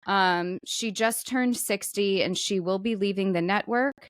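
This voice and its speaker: A woman's voice: medium pitch, unhurried, clean tone, dry recording. Casual woman co-host, close dry sound. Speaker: podcast co-host. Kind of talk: casual comedic talk about celebrity news.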